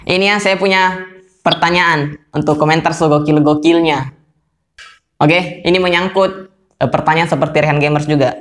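A young man hosts a casual podcast call from a small room. His voice sits a little high and is slightly hoarse, and he talks in a relaxed, chatty vlogger way. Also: normal speed. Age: young adult. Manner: laid-back, chatty. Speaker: YouTuber hosting a podcast call. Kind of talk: casual vlog-style phone interview.

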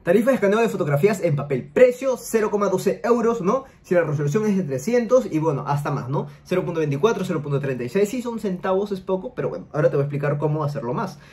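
Fast-talking young man explaining. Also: high voice, lively intonation, darkish tone. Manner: rapid explainer, tag questions. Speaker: money-making YouTuber. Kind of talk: screen-share tutorial talk. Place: home room, seated in gaming chair.

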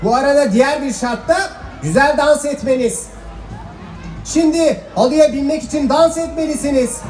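A man's voice: extremely high pitch, normal speed, a little mellow, a faint crowd. A man playing a cheeky, clever young trickster boy, projecting playfully to children in a fairy-tale stage show. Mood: playful, instructing. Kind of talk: children's stage musical acting. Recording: echoey hall stage sound, music, audience.

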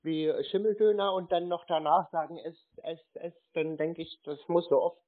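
A man on a prank phone call, stalling and acting slow-witted as he fumbles along with instructions. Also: a little high. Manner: stalling, deliberately slow-witted, silly spelling words. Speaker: scam-baiter playing a clueless victim on a phone call. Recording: tinny phone line.

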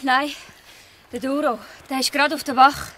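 A woman's voice: high voice, steady tone, moderate pace, fairly bright tone, slight background noise. An actress playing a bold, cheeky girl gang leader in a Swiss German dialect radio play, speaking with confidence. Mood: wary.

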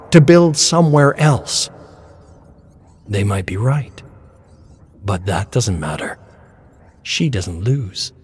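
A man's voice: mid-range voice, a little mellow, husky. Man narrating a dramatic story.

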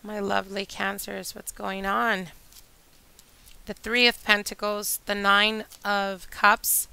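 A woman reading tarot cards for her channel, chatty and addressing viewers directly, her voice a little hoarse from a long day. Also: medium pitch, flat delivery, takes it slowly, low-quality recording. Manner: chatty, warm address to viewers, whispers during shuffling. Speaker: tarot reader. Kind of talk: casual tarot reading monologue. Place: indoor table with candles and decor.

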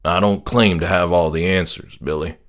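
A man voicing a grieving middle-aged father who is steadfast in his faith, speaking in a subdued, earnest, halting way. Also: low-pitched, fairly even intonation, voice pushed down, lightly acted. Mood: sad, earnest.

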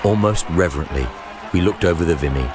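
A man with an extremely deep voice narrates a first-person memoir in a measured, deliberate way. It is scripted, audiobook-style reading, with music playing clearly behind him.

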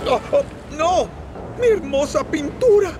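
A vain red cartoon train, very high voice, bouncy and slow. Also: male voice, expressive, over a noisy crowd, very noisy. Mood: flustered. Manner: proud, fussy about his paint. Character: vain proud red male steam engine. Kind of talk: Spanish cartoon dub.

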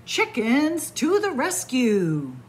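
Warm-up librarian type, middle-aged woman, bright higher voice, playful story reading in a library. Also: wildly swooping pitch, slow, a little hiss or hum. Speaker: children's librarian. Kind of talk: picture book read-aloud. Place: library, in front of bookshelves. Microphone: camera at close range.

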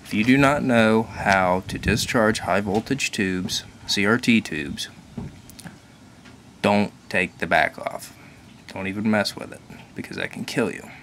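A man's voice: on the low side, unhurried, fairly bright tone, a little hiss or hum. A young man with a nasal voice, chatting casually as he shows a hands-on project outdoors on a porch, filmed on a handheld camera. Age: young adult. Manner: casual and chatty, explaining hands-on while handling gear. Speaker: DIY video maker. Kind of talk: casual how-to vlog. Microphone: handheld camera mic.

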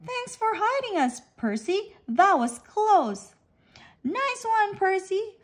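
A woman's voice: very high voice, very animated, slow pace, pitched above the performer's own voice, full character voice. A woman voicing a small speedy sprat fish in a storybook, eager, urgent and exclaiming. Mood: relieved.

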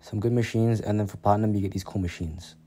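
A man's voice: fairly low pitch, steady tone, quick pace, dark tone. Teen male vlogger, casual, rambling, phone-recorded. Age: teens. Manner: laid-back, rambling with many fillers. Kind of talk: casual vlog narration.

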